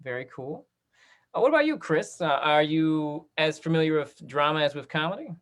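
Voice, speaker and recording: A man's voice: a little high, animated, moderate pace. A man hosting a casual interview on a video call, affirming and summing up what he hears. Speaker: host of a talk back discussion.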